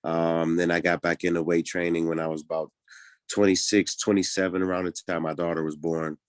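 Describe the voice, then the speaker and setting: A man's voice: sits low, level tone, brisk, smooth, no room sound, studio quality. A man in his thirties from Pittsburgh, talking easygoingly as a podcast guest, with many ums and restarts. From Pittsburgh, United States. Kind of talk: casual interview talk.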